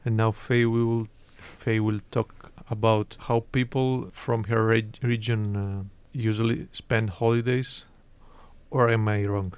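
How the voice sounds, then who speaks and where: A man's voice: lowish voice, level tone, unhurried, clean tone. A young man hosting a student radio show in English, which is not his first language, talking in a hesitant way with many fillers. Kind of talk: casual chat.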